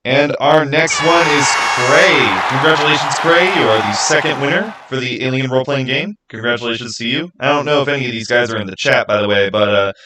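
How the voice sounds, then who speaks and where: A man's voice: medium pitch, brisk, few pauses, background noise. A man hosting a livestream, chatting casually and informally straight to his viewers. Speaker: live stream host running a giveaway.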